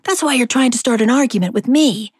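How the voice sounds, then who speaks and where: A woman's voice: brisk, husky, dry recording. A woman doing a cheeky little ghost boy. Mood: teasing. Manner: taunting, raised high voice.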